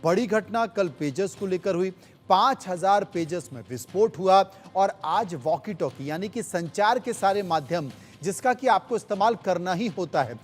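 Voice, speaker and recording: A man's voice: sits high, expressive, moderate pace. A man anchoring a live news discussion, speaking emphatically and repeating phrases for effect. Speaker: news anchor hosting a panel.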